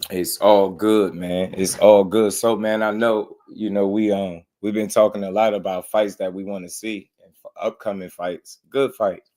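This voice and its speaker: A man hosting a casual boxing livestream, slightly hoarse, greeting viewers with loose slang before getting into blunt commentary. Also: on the low side, flat delivery, normal speed, warm tone. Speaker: boxing show host.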